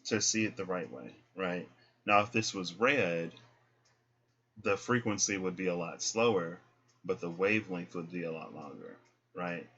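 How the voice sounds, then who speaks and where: A man giving a casual spiritual tarot reading, explaining each card in a relaxed way and often tagging "right?" onto his points. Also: low-pitched, normal speed, husky. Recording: dull lo-fi sound.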